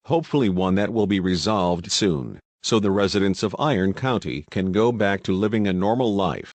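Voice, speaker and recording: A man acting as a news anchor, delivering a scripted broadcast in a measured, earnest way. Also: low voice, a little mellow, no echo.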